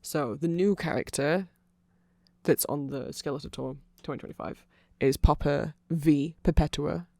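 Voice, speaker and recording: Guy doing a nerdy vampire asmr ramble, bit high voice, super dry close sound, lots of ums. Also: a little slow, creaky, dark tone, no echo. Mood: earnest, sympathetic. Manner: rambling, filler-heavy, earnest fannish enthusiasm. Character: nerdy rambling male vampire explaining band lore.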